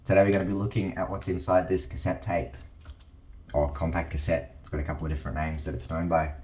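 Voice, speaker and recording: Male host, extremely low pitch, informal demonstrator delivery, roomy echo. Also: little pitch movement, quick pace, darkish tone. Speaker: host of a teardown channel. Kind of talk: casual hands-on explainer. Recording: roomy, echoing sound.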